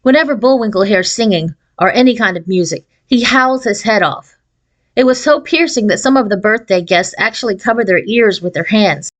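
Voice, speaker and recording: A woman reading a children's novel aloud in an expressive storytelling style. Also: medium pitch, moderate pace. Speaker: audiobook reader.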